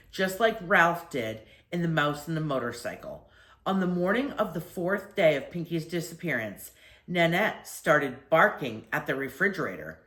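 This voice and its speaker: An older woman reading a children's story aloud expressively, with deliberate phrasing and drawn-out emphasis. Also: sits low, a bit bright, echoey room.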